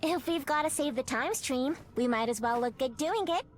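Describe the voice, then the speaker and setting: High-pitched, cute young hero. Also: female voice, lively intonation, moderate pace, slight background noise. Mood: perky, playful. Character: cute, perky, playful young female armored hero. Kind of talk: video game voice acting.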